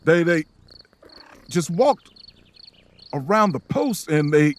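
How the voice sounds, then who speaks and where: Audio drama casting: practical, worried companion, naturalistic, slightly high animated voice, faint outdoor noise. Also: male voice, slow pace. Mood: anxious. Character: anxious, practical male companion on a magical journey.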